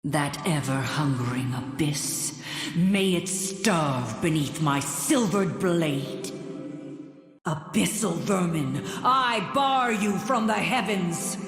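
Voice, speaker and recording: A woman playing a solemn, proud celestial moon warrior goddess in a video game, speaking formally and declaiming with deliberation. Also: lowish voice. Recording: echoey reverberant hall, music behind.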